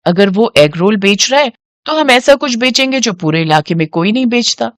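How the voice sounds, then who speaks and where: Woman doing a smart young wife in a moral story, reassuring, practical, lively intonation. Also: a little high, moderate pace, dry recording, natural voice. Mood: reassuring, confident.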